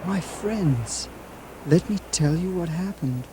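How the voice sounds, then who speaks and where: An actor playing an ecstatic saintly lord in a puppet show, speaking in a dreamy, wistful, measured way over music. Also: male voice, fairly high pitch, expressive, noisy background. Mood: dreamy, joyful. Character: ecstatic saintly lord waking from a mystical vision.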